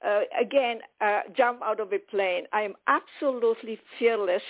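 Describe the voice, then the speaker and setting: A woman in her eighties, born in Romania with German as her first language, telling her life story as a radio guest, lively, candid and quick to joke. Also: medium pitch. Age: 80s. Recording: phone-line radio sound.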